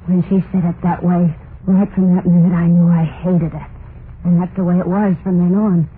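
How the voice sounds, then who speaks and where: An actress playing a tormented, murderous young wife in a vintage radio horror play, confessing in a brooding, repetitive monologue with plain folksy speech. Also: female voice, lowish voice, level tone, moderate pace, narrow, tinny audio, natural voice. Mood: bitter, resentful. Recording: noisy, band-limited vintage radio recording.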